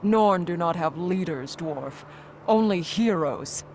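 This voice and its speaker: An actress playing a proud Norn warrior woman in a fantasy video game, speaking gravely and formally. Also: fairly low pitch, lively intonation, takes it slowly, a little mellow, slight background noise.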